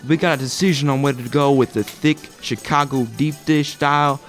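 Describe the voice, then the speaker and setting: An actor playing a familiar, deferential pizzeria underling to a mobster in a comedic audio drama. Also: male voice, medium pitch, steady tone, a little fast, faint music behind, natural voice. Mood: concerned. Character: loyal, eager male pizzeria underling to a mobster.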